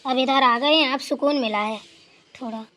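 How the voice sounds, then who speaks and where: Lady doing a rambly teasing old mother-in-law for a cartoon, high voice. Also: normal speed, fairly bright tone. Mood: relaxed. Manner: rambling storytelling, scolding playfully. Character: elderly mother-in-law, chatty, teasing, fond.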